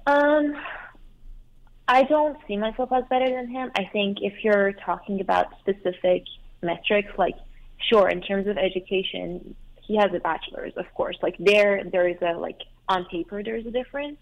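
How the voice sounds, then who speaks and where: A woman's voice: mid-range voice, normal speed. A young adult woman whose first language is Farsi, calling in to an advice show and talking hesitantly with many fillers and restarts. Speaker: caller. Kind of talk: call-in advice talk. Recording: tinny phone line.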